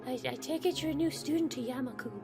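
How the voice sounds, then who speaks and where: A man voicing a calm, polite teenage girl with a soft, measured manner in a higher put-on voice. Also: over music. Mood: gracious.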